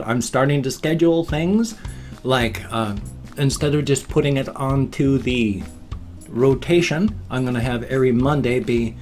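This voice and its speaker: A man in his fifties from the United States, chatting casually in a vlog and drawling his thank-yous slowly. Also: mid-range voice. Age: 50s. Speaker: vlogger.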